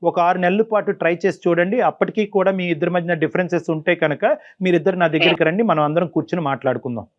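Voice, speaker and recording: A man's voice: high-pitched, very fast. A man giving emphatic advice as a counsellor on a talk show, repeating words for stress, in a dull, muffled recording. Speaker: family counsellor.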